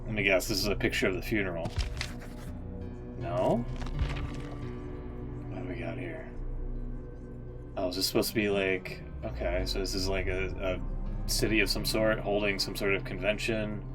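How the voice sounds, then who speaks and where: A man's voice: low-pitched, fairly even intonation, normal speed, long pauses. A man giving casual, slangy live-stream reaction commentary, with music behind him. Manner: informal, slangy.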